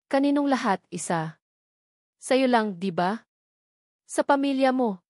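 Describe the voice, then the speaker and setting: A woman's voice: on the high side, lively intonation, unhurried, darkish tone, no echo, studio quality, pitched above the performer's own voice. Woman voicing an insistent, wounded, angry man. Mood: hurt, accusing. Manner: insistent, accusing. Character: angry, hurt, demanding adult man confronting former lover. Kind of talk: dramatic romance story narration.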